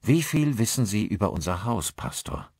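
A man's voice: fairly low pitch, sing-song, normal speed, a little mellow, breathy edge, no room sound, clean studio sound. A man playing a polite, inquisitive newcomer to a village in an audiobook, speaking casually. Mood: curious.

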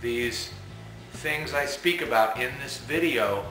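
A middle-aged man explains in a patient, step-by-step way, now and then repeating himself. His voice is bright and forward. It rings in a small, echoey room, with faint music behind. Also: mid-range voice, expressive, slow pace. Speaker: company technical presenter. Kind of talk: instructional talk to camera. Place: small indoor utility room with plumbing.

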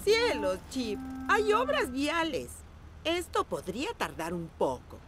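A woman's voice: high-pitched, wildly swooping pitch, takes it slowly, exaggerated voice. A woman voicing a fun-loving, doting pug grandmother in a dubbed children's cartoon. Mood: calm. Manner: sing-song, doting, playful. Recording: children's music playing behind.

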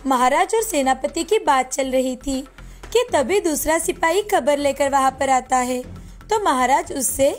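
A woman reading scripted narration for an animated children's tale. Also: sits high, a little slow, faint music behind.

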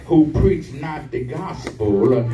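A man's voice: lively intonation, slow, music in the background. A middle-aged man preaching a sermon at the pulpit, building up in call-and-response style as the congregation answers. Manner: call-and-response preaching, rising in pitch and fervor. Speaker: guest preacher. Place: large church sanctuary. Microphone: pulpit mic, filmed from the pews. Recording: echoey church, distant, congregation responding. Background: congregation calling back.

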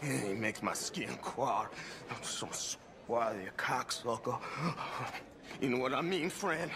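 A man's voice: mid-range voice, animated, creaky, background noise. An actor playing a coarse, scornful barfly in a western game, drawing out his words with mockery. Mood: mocking, disgusted. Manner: drawn-out, mocking. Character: coarse, scornful male barfly in a rough saloon. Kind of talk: cinematic western game acting. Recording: music behind the voice.

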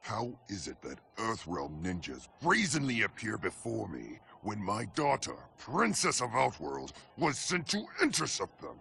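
Booming, gravelly tyrant emperor. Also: male voice, huge pitch swings, unhurried, few pauses, bright, forceful tone, full character voice. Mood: angry. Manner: imperious, grandiose. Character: haughty tyrant emperor, huge, menacing, short-tempered. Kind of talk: dramatic video game acting.

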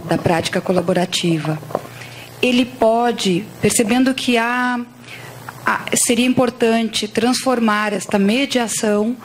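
A Brazilian woman in the audience poses a long, carefully worded question. Her voice sits at a middle pitch. Faint room ambience is heard behind her. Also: a little mellow, a little hiss or hum, low-quality recording. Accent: Brazilian Portuguese. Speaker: audience member asking a question. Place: large auditorium.

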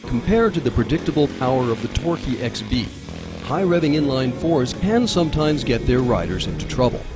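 A male narrator delivers scripted documentary voice-over in a smooth, polished manner. His pitch moves in an animated, expressive way, and a music bed plays clearly behind him.

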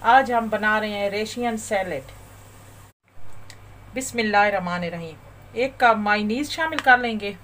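A woman hosting a cooking channel, calmly talking through a recipe step by step in a voice-over. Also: mid-range voice, moderate pace, long pauses, a little hiss or hum. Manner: calm, methodical instructions. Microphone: voice-over over overhead shots of a bowl.

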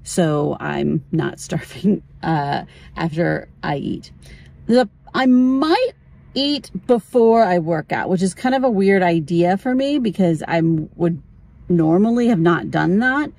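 A woman's voice: on the low side, animated, slow, gravelly, a little mellow. A middle-aged woman chatting casually as a vlogger, informal and candid, often thinking aloud. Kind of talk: casual chat.

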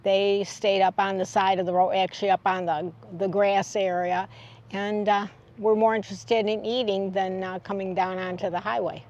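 A woman's voice: medium pitch, level tone, normal speed, a little mellow, lo-fi sound. An older American woman casually recounting a trip as a travel vlog host, speaking outdoors in the open air.

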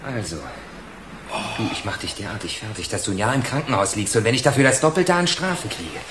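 An actor playing a vengeful, mocking young ex-convict who bullies and threatens in a German radio crime drama. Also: male voice, lowish voice, expressive, fairly quick, reverberant, background noise, lightly acted. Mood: cold threatening. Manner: sneering, sarcastic, bullying.

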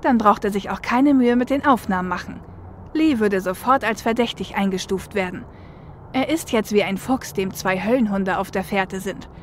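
A woman's voice: mid-range voice, moderate pace, close to an everyday voice. A woman playing an analytical, level-headed shadowrunner and getaway driver in a fan audio drama. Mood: explaining. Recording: faint car and traffic noise.

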